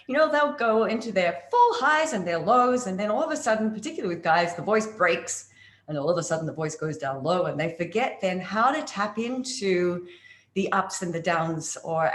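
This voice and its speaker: A woman voice coach talks through her methods in a relaxed interview. Her delivery is expressive, and she swings between high and low tones to demonstrate. The recording carries noticeable room echo. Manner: expressive, demonstrates pitch swings while explaining. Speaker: voice coach guest. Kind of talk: casual interview chat.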